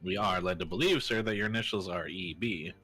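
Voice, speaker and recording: A man voicing a theatrical, pompous gentleman detective in a fan dub of a mystery game, speaking in formal, elaborate phrases. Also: sits low, a little fast, faint music behind. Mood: grandly accusing. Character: theatrical eccentric gentleman detective, grandly accusing.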